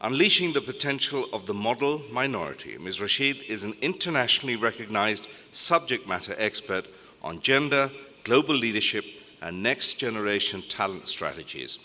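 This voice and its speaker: Male emcee, bright clear voice, announcing over a hall microphone with long reverb. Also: on the low side, lively intonation, moderate pace. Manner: announcing, reads out credentials. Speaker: event emcee. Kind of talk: formal introduction. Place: large conference hall.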